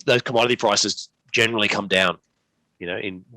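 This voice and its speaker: A man with a lowish, bright voice talks through market analysis as an interview guest. His delivery is unscripted and halting, with repeated words and restarts, and the sound is close and dry. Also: fairly even intonation, no room sound, poor audio. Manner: halting, repetitive phrasing with many restarts. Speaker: investment manager, interview guest. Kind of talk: unscripted market commentary in a live Q&A.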